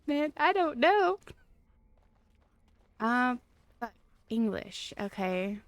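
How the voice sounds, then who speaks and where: A woman's voice: on the high side, sing-song, very slow, no echo. Rambling woman streamer chatting casually. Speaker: live game streamer. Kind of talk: casual chat with stream viewers.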